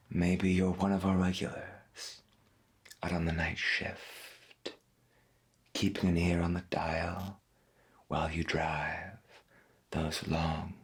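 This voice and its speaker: Man playing a hushed, deep-voiced late-night radio host. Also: exaggerated voice. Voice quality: breathy, husky. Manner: slow, deliberate, folksy, half-whispered. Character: folksy, gentle veteran late-night pirate radio host. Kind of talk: soft-spoken ASMR roleplay as 1990s AM radio.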